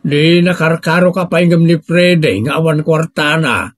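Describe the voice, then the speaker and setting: Man acting adult family man, Filipino accent, raised husky voice, grumbling, conversational audio drama. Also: on the high side, moderate pace, natural voice. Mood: concerned.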